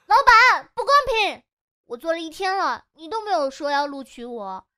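A woman's voice: very high voice, big pitch swings, moderate pace, bright, forceful tone, full character voice. A woman playing a bubbly, pushy young job applicant in a radio drama, gushing and playfully wheedling. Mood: indignant, excited. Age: young adult.

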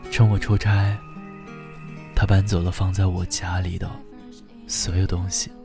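An actor playing a gentle, devoted young boyfriend in a radio drama, speaking softly, tenderly and unhurriedly with a wistful air. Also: male voice, low-pitched, level tone. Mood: sad, heartbroken. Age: young adult. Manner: soft, tender, unhurried, breathy phrase endings. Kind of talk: intimate first-person radio drama narration. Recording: music behind the voice.

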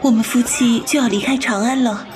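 A woman playing a gentle, mysterious young wife at a food stall in a Chinese audio drama, soft-spoken and courteous. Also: a little high, steady tone, fairly quick, a faint crowd, natural voice. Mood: courteous, pleading.

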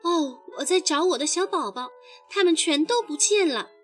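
A woman's voice: sits high, animated, normal speed, bright, forceful tone, soft background music. A woman voicing a caring, anxious mother frog in a motherly manner for a children's story. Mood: worried, sad. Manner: tender, fussing, motherly.

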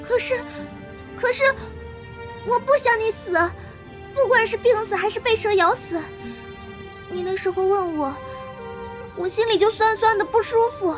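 A woman acts a young wolf-raised martial arts girl in a period audio drama. The voice is extremely high, with naive, blunt, devoted delivery in simple halting phrases. Music plays clearly behind it. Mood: earnest, protective. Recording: voice over prominent background music.

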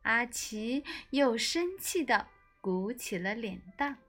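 A woman reading a children's storybook as an expressive scripted narration. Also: a little high, takes it slowly, faint music behind.

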